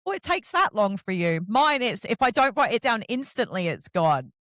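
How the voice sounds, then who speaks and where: Middle aged lady podcast host, highish voice, really lively and chatty, pokes fun at herself, dry sound. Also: normal speed, no room sound. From England. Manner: animated, self-deprecating storytelling. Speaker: podcast host interviewing an author. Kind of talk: casual chatty interview.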